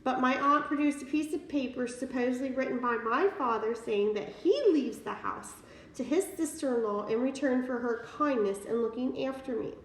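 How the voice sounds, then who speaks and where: A woman voicing a gentle young schoolteacher who talks in an earnest, confiding way in a storybook reading. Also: high voice, moderate pace. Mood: candid, resigned. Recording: roomy, distant mic, faint noise.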